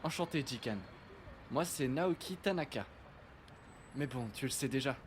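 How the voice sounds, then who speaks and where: A man's voice: mid-range voice, moderate pace, slight background noise, lightly acted. A man playing a shy teenage boy. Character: shy teenage boy, new in town, forgetful. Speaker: lead character. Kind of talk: fan audio drama acting. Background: music.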